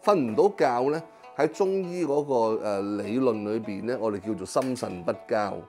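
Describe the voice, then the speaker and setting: A middle-aged man with a Hong Kong Cantonese accent, a Chinese medicine practitioner explaining his work in an interview, pausing often with drawn-out fillers. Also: medium pitch, lively intonation, warm tone, soft background music. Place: small clinic consulting room.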